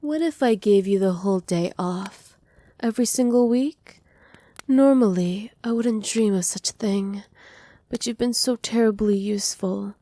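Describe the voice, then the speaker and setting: Soft, mellow doting witch, acted by a woman. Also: mid-range voice, unhurried, no echo, lightly acted. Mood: tender, indulgent. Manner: soft, doting, teasing, often dropping to breathy whispers. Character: doting, possessive evil witch pampering her captive pet. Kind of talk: ASMR roleplay, intimate monologue to listener. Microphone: close microphone, dry.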